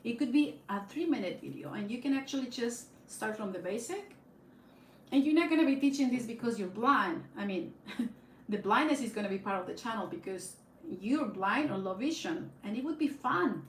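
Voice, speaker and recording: A woman's voice: on the high side, expressive, normal speed. Casual livestreamer, woman, Spanish accent, rambling chat, phone on tripod in an echoey room with faint hiss. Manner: rambling, chatty, frequent fillers and restarts, laughs often. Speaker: YouTube live streamer. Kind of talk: casual live-stream chat.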